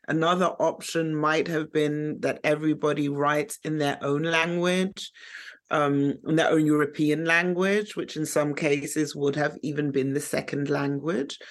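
A woman whose first language is German talks as an interview guest at a slow, unhurried pace. She searches for words, with frequent fillers and restarts. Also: deep voice. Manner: hesitant, many fillers and restarts. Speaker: guest, co-editor. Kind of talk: conversational interview.

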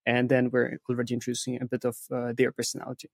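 A man's voice: medium pitch, completely flat delivery, quick pace, creaky, dry recording. A man giving thoughtful, conversational explanations as an interview guest, often checking in with a questioning tag at the end of statements. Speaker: interview guest, content agency specialist.

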